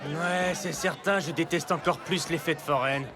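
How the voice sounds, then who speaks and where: A man's voice: on the high side, steady tone, moderate pace, music in the background, a crowd in the background, lightly acted. A man playing a serious, cautious young prince in a children's cartoon, complaining dryly. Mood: grumpy. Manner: dry, exasperated complaining. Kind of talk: animated children's cartoon dubbing.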